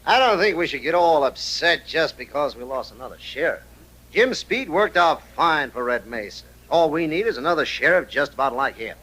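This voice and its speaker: A self-interested saloon owner in a vintage radio western. His voice is somewhat high and forceful, with a bright edge. He wheedles and argues his case. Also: lively intonation, moderate pace, poor audio. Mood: placating objection. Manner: argumentative, pressing his case. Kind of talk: 1950s radio drama acting.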